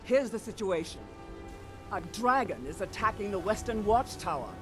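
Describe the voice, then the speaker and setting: An actress voices a stern elf woman who commands soldiers in a fantasy game. She sounds fearless and resolute, giving orders with authority over clearly audible music. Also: medium pitch, lively intonation, moderate pace, lightly acted. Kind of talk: fantasy video game acting.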